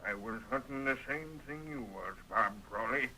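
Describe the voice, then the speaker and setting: An actor playing a crusty, bossy old rancher with an American Western accent, telling things in a folksy, cantankerous way in old-time radio style. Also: male voice, medium pitch, bright, forceful tone. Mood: grim recollection. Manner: folksy, cantankerous, deliberate storytelling. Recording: thin, tinny old radio recording.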